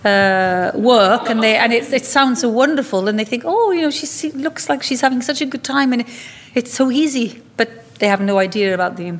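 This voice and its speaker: A woman's voice: fairly high pitch, moderate pace, few pauses. A woman who directs an opera studio, talking about her singers with eager emphasis. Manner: enthusiastic, emphatic, laughs easily. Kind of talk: interview and coaching talk. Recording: faint background noise.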